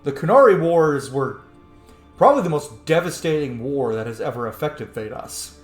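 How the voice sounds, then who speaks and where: A man talks casually through a game playthrough, musing and emphatic. His pitch swings widely from phrase to phrase. The room is echoey, with faint music underneath. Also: expressive, normal speed. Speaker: gamer, host of a roleplay playthrough.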